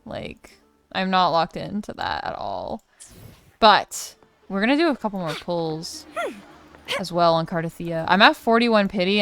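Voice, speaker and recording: A woman's voice: medium pitch, smooth, dry recording. A young woman doing casual, chatty and slangy let's play commentary as a gaming streamer. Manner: chatty, slangy, reacting aloud. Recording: game music behind voice. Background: video game music.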